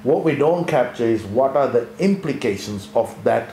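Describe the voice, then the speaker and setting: A man from Sri Lanka talks in a measured, thoughtful way, stopping and restarting his sentences as he goes. His voice sits in a middle range. The room is echoey and there is a faint hum of ambience behind him. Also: mid-range voice, expressive, mellow. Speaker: co-host, former accountant. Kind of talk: conversational leadership discussion.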